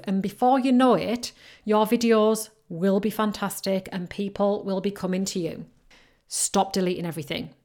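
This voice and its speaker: A woman with a Northern English accent mixed between Yorkshire and Geordie, hosting a solo podcast as a business coach, upbeat and encouraging, often repeating key phrases. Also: mid-range voice. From North East England coast.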